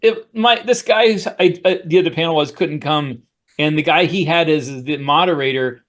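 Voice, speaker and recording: A man with a slightly high voice chats casually as a podcast co-host. He tells his stories in a halting, rambling way, circling back as he goes. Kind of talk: casual anecdotal chat.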